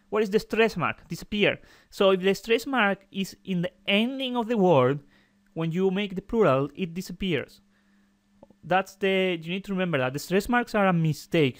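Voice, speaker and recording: A man from Spain with a Spanish accent gives a casual video lesson while fighting off an illness. His voice sits a little high for a man. He explains in halting English and keeps stopping to correct himself. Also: no echo. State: sick, with a cough. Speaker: Spanish teacher.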